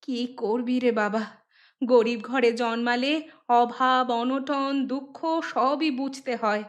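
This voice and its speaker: A woman voicing a poor, weary village mother in a cartoon, nagging and sharp-tongued in rustic colloquial speech. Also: on the high side, fairly even intonation, takes it slowly. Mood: resigned complaint. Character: harried poor village mother, scolding, weary and long-suffering. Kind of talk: cartoon story dialogue. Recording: music behind the voice.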